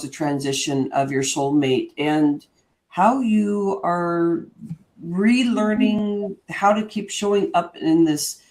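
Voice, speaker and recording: Woman, 50s, panel host; enthusiastic, halting, filler-heavy. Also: low-pitched, a little mellow. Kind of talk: hosting a panel conversation.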